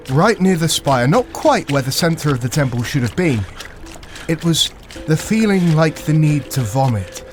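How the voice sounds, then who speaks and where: A man narrating a dark fantasy audio story in a deliberate, suspenseful storytelling style. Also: mid-range voice. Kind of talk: dramatic scripted fantasy narration.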